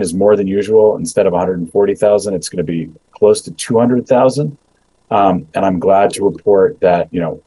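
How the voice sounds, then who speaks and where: A middle-aged man, an immigration agency official, giving measured remarks over a video call with many ums and uhs. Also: low-pitched, warm tone, slightly rough, low-quality recording. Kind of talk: prepared remarks and Q&A answers. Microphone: video call webcam.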